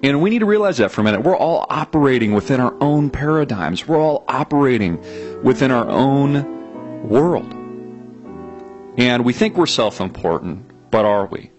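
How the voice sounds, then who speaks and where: A man's voice: mid-range voice, big pitch swings, moderate pace, soft background music. A man hosting his own video channel, musing aloud to viewers in a reflective monologue, with frequent "uh"s and restarts.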